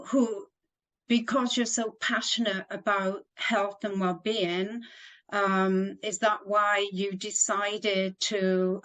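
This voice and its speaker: A woman's voice: mid-range voice, a bit bright, rough recording. A woman from Wales hosting an interview in an effusive, appreciative manner. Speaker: interview host. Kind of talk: warm scripted welcome and interview questions.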